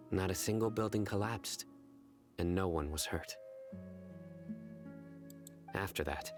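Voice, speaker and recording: A stern game investigator with a low voice. He speaks in a formal, deliberate and gravely serious way, over plucked-string music. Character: stern, measured male investigator, young adult, gravely serious. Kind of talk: video game voice acting.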